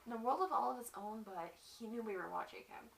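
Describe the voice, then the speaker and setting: A young woman performing a dramatic monologue as a lovestruck battered wife, halting and confessional, on a distant mic. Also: a little high, creaky, fairly bright tone. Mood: wistful, adoring. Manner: halting, confessional, repeats phrases. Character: lovestruck, battered wife recalling her violent husband.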